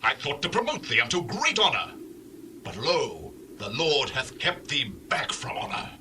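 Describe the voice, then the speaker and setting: Man as sharp, indignant, swooping angry king. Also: medium pitch, wildly swooping pitch, unhurried, slight background noise, exaggerated voice. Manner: forceful, accusing declamation. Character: angry, indignant male king rebuking a prophet. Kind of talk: dramatized Bible reading.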